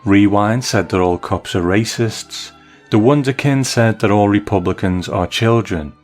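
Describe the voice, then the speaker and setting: A man hosting a podcast, reading a listener's email aloud in a measured, articulate way. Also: fairly low pitch, a little mellow, soft background music.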